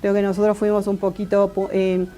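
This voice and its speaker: A woman with an Argentine accent answering interview questions thoughtfully, with many pauses and restarts. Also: mid-range voice, little pitch movement, dark tone. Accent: Argentine Spanish. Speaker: interviewee, political scientist.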